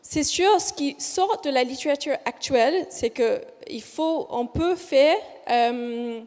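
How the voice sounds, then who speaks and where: Casting: a high-pitched Australian woman researcher, conference talk, halting and careful, booming hall reverb. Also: a little mellow. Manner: speaks slowly and deliberately, with frequent hesitations and self-corrections. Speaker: physiotherapist researcher presenting a study. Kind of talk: conference presentation.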